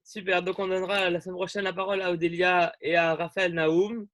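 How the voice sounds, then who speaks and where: A man's voice: sits high, little pitch movement, brisk, over a noisy crowd. A young man hosting an online group meeting, talking in a friendly, rambling way with many fillers and restarts over a video call. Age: young adult.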